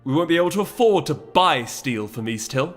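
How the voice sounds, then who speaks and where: Man voicing a proud, commanding pony duchess, pitched up. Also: expressive, fairly quick, soft background music, close to an everyday voice. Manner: formal, imperious, emphatic. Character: resolute, commanding noble mare duchess, grave and proud. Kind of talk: dramatic audiobook character voice.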